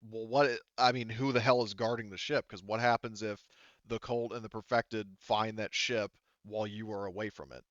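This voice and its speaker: Guy doing a tough lady space commander for a tabletop game, blunt n sweary, smooth, dull audio. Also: fairly low pitch, fairly even intonation, moderate pace, a bit bright, no room sound, lightly acted. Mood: blunt, probing. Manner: blunt, casually profane.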